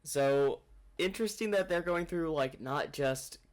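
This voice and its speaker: A man's voice: on the high side, a little slow. A man hosting a reaction video, thinking aloud in casual, rambling commentary.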